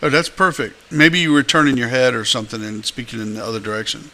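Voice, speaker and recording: A man's voice: mid-range voice, steady tone, quick pace, sharp tone, rough recording. A man co-hosting a trading talk show, asking long rambling questions full of fillers. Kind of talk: casual talk radio.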